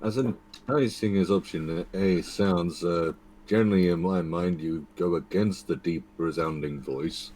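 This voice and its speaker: A man with a deep voice joking and laughing with friends while playing a game. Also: measured pace, mellow, a little hiss or hum, low-quality recording. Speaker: player. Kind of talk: casual chat.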